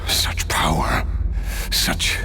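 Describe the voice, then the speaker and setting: A man playing a weary, courteous, ailing noble swordsman of great age in a video game, speaking in a measured, formal way. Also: sharp tone, soft background music. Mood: strained, impressed. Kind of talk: dramatic video game voice acting.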